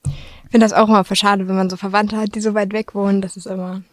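A woman's voice: mid-range voice, little pitch movement, fast, no echo. A young woman with a mid-range voice, like a student chatting colloquially with a classmate in a small, dry room. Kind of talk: casual chat.

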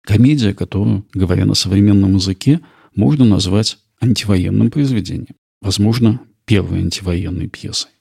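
A man narrating a scripted history programme as host, in a measured, explanatory way. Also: lowish voice, gravelly, warm tone, slightly rough, dry recording, clean studio sound.

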